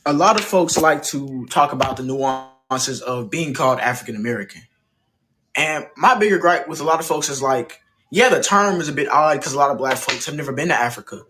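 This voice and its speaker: A man from the Mississippi Delta in a played social media clip, speaking in a measured, articulate, questioning way. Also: medium pitch, animated, noisy background.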